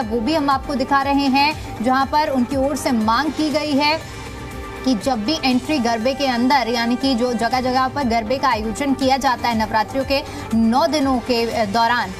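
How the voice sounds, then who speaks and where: Female news anchor, high voice, explanatory live bulletin delivery, prominent music bed behind. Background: background music.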